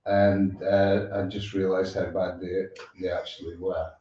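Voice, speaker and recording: Mellow man hosting from an echoey room. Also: deep voice, level tone, unhurried. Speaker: show host. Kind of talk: casual live interview chat. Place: indoors at a musician's home. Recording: roomy, distant mic, dull sound.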